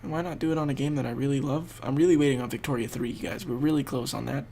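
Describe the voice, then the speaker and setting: Young male gaming host, congested and gravelly, rambling play-by-play. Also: medium pitch, steady tone, racing, mellow, a little hiss or hum. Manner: rambling, thinking aloud while playing. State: congested, losing his voice. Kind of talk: casual gameplay commentary.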